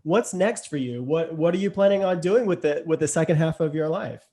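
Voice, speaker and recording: A male podcast host with a mellow, fairly high voice speaks in a relaxed interview. He backs up his guest warmly and sums up what was said before asking the next question. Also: brisk. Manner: affirming, sums up the guest's points. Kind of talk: casual interview.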